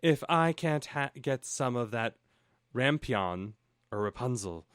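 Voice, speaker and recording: A man voicing a pining, melodramatic fairy-tale wife with plaintive, drawn-out delivery in a parody audiobook. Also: medium pitch, animated, fairly bright tone, smooth, dry recording, clean studio sound.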